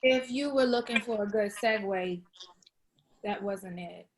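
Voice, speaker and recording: A woman's voice: mid-range voice, a little slow, noisy background, natural voice. A woman playing an anxious, broke and dramatic young woman with a sarcastic, slangy manner in a comedy table read.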